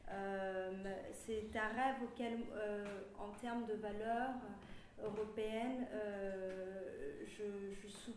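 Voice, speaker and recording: A woman's voice: medium pitch, fairly even intonation, slow, darkish tone, a little hiss or hum. A woman from Turkey speaking in a public discussion, with many hesitations and fillers. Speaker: panelist. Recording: roomy, distant from the mic.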